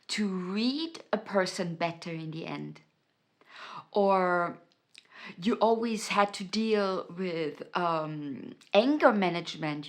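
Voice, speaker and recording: A woman's voice: on the low side, a bit bright. A woman from Austria, a native German speaker with an Austrian German accent, giving a motivational talk to her viewers. She speaks earnestly and searches for words, often restarting her phrases. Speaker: YouTube host.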